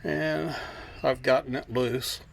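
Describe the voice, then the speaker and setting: A man's voice: mid-range voice, fairly even intonation, slow pace, vocal fry, slight background noise. Casual man narrating a car repair. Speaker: DIY car repair video host. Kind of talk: casual hands-on how-to narration.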